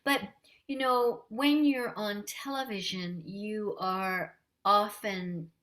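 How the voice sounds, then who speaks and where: A woman with a light voice, talking warmly and unhurriedly as a guest in a casual interview. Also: mid-range voice, fairly even intonation. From Canada. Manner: warm, friendly, unhurried. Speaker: voice actress guest.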